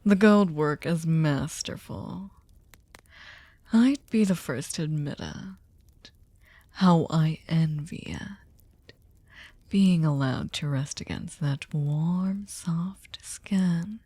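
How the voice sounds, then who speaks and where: Slow, dark-voiced seductive vampire woman, acted out by a woman. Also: low voice, breathy edge, no room sound, full character voice. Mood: coolly curious, then hushed, teasing. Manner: slow, teasing, mock-polite, often dropping to a whisper. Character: sadistic, seductive aristocratic female vampire, possessive and manipulative. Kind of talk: ASMR roleplay audio drama.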